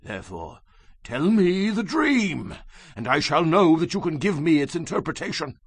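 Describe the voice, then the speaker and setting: An actor playing an angry, imperious king in a dramatized audio Bible, with a raspy, commanding, theatrical delivery. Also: on the high side, big pitch swings, moderate pace, no echo, exaggerated voice. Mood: angry, accusing. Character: angry, imperious king issuing threats and demands.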